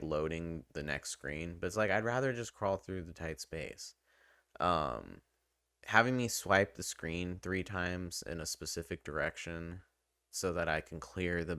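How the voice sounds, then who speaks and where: Low-voiced man casually reviewing games. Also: fairly bright tone, clear, resonant tone, no room sound, clean studio sound. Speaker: video game reviewer. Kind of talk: casual, conversational game review.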